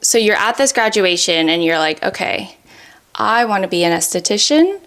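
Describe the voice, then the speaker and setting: Casual mid-pitched woman interviewer. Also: lively intonation, normal speed, fairly bright tone. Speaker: podcast host, interviewer.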